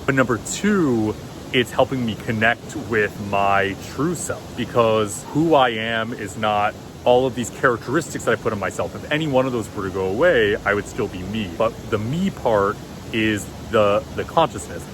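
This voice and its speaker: A deep-voiced man talks casually and warmly about simple meditation practices in an easygoing, encouraging way. He is recording outdoors, and the steady roar of a nearby waterfall sits loud in the background. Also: background noise. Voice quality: deep, low voice. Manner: relaxed, encouraging, conversational. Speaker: vlogger and meditation coach. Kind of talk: casual advice vlog. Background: rushing waterfall.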